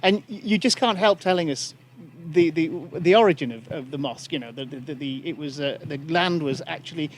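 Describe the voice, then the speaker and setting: A man's voice: on the high side, brisk. A man hosting a podcast interview, hesitant and full of fillers and repeated words. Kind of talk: casual interview conversation.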